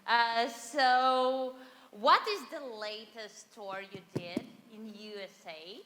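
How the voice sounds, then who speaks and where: Loud woman asking questions slowly, bright voice, echoey hall. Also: on the high side. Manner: says her voice is very loud, nervous. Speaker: audience questioner. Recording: long hall reverb.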